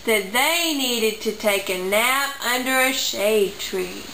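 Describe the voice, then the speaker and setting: A woman's voice: fairly high pitch, slow pace. A woman narrating a simple puppet-show story for children at home. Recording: echoey room, faint background noise.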